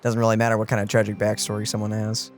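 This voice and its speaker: A man's voice: lowish voice, monotone, very fast, faint music behind. A man co-hosting a let's play, chatting casually as he comments on the game. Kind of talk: casual gaming commentary, reading along.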